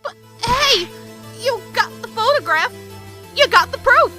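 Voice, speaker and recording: A woman's voice: extremely high pitch, lively intonation, unhurried, pushed and bright, faint music behind, exaggerated voice. A woman playing a feisty young witness from the American South, with a folksy, indignant drawl, in an audio drama. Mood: defiant. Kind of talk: fan audio drama voice acting.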